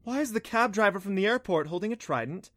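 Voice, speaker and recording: Man voicing a bewildered, caring mother, pitched up. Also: moderate pace, no echo, close to an everyday voice. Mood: dumbfounded. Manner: questioning, earnest, tender.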